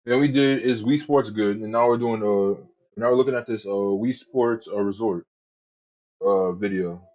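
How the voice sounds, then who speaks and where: A man's voice: on the low side, moderate pace, a little mellow, lo-fi sound. A man streaming live, chatting casually to his viewers in a rambling, profane way and often repeating himself. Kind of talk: casual livestream chat.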